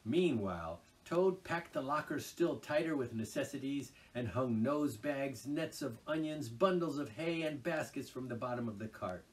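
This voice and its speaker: A man reading a classic children's tale aloud, his voice rising and falling with lively expression. He sounds like a seasoned storyteller. The voice sits a little back from the microphone. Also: medium pitch, normal speed, hardly any pauses, far from the mic. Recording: voice a little off-mic.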